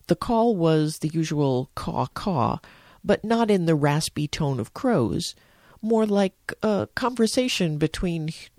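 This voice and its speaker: A woman's voice: low voice, a little mellow, no echo. American woman reading a devotional, dry close sound. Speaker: devotional podcast host, church organization director. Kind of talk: scripted spoken devotional.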